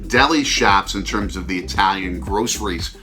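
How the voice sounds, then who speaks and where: A middle-aged man co-hosting a food travel vlog in an upbeat, semi-scripted way, leaning on key words with drawn-out emphasis. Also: low voice. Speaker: travel food show host, father co-hosting with his child. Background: background music.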